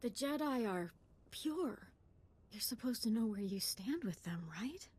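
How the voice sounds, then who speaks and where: A woman's voice: on the high side, lively intonation, unhurried, a little mellow, slightly rough. An actress playing an intense, idealistic young female Jedi apprentice in a video game, with a troubled, earnest and emotional delivery. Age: young adult.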